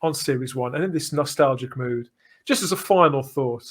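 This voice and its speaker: A middle-aged man with a mid-pitched voice, talking as a podcast host. He is effusive and emphatic, wandering through his points in a casual fan-to-fan chat. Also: normal speed, a bit bright. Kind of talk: casual enthusiastic fan discussion.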